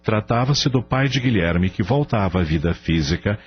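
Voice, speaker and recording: A man with a low voice and Brazilian accent narrates a radio drama. His pitch moves widely through the scripted storytelling. The recording is dull, with faint music behind him. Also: lively intonation, moderate pace. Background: soft background music.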